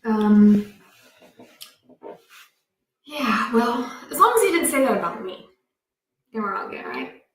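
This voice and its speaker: A woman, co-hosting a casual homestead livestream and telling excitable stories. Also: fairly high pitch, slow, long pauses, crowd noise. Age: 30s. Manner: chatty, excitable, storytelling. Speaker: livestream co-host. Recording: roomy, from a distance off the mic.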